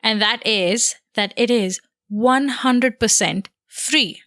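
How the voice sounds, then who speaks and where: A woman's voice: a little high, slow pace, fairly bright tone, no echo, studio quality. A young woman co-hosting a podcast, chatting casually in an upbeat way and giggling often. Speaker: podcast co-host. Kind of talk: casual chat.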